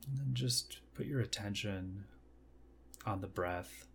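Man, low pitch, meditation guide, gentle unhurried phrases, long pauses, faint background noise. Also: vocal fry.

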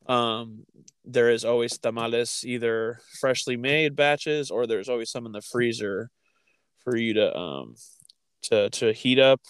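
A man with a smooth, resonant voice talks casually, recorded very dry. He co-hosts a chat and often halts and restarts as he thinks aloud. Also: mid-range voice, unhurried, no echo. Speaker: podcast co-host.

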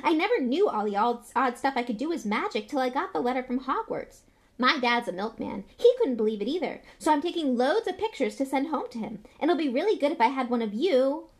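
An eager young schoolboy, acted out by a woman narrator, pitched well up high. He gushes breathlessly and excitably, a starstruck little fan from a storybook with lively swings in his voice. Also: fairly quick. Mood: excited, eager.

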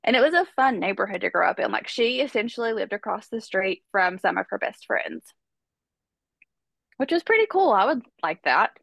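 A woman's voice: medium pitch, sing-song, moderate pace, vocal fry, a bit bright, dry recording. A woman hosting a podcast, telling a true-crime story in a chatty, casual way while stuffed up with a cold. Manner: chatty, conversational, many fillers. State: congested with a cold. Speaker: podcast host.

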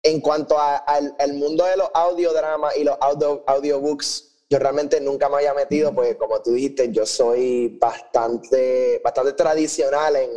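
A man's voice: medium pitch, mellow, rough recording. A man with a Puerto Rican Spanish accent co-hosting a podcast in casual chat, informally mixing English words into his speech. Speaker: podcast co-host.

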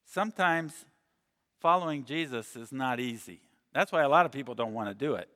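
A man raised in Mexico preaching a sermon in an earnest way, slowing down and dropping his voice for scripture and emphasis. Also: mid-range voice, animated, pushed and bright. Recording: long reverb in a big hall.

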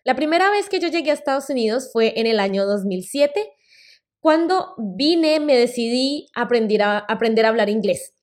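Woman, 30s, Colombian accent, podcast host, casual storytelling, slightly high voice. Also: expressive, normal speed. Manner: chatty and personal, uses fillers like "eh" and "pues".

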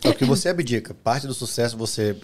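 A man co-hosting a podcast, jumping in with excitable, slangy banter. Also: mid-range voice, fast talker, slight background noise. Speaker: podcast co-host. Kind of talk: casual conversation.

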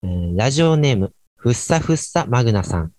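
A man's voice: mid-range voice, big pitch swings, fairly quick, a little mellow, no echo, close to an everyday voice. A man playing a laid-back late-night radio host and dry straight man in an amateur radio drama. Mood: relaxed, wry.

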